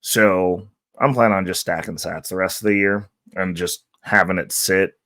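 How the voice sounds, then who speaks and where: A man hosting a casual vlog, hoarse from losing his voice, talking in an upbeat, loose, rambling way. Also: sits low, steady tone, normal speed, a bit bright, no echo, studio quality. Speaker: channel host. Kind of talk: casual market-update vlog.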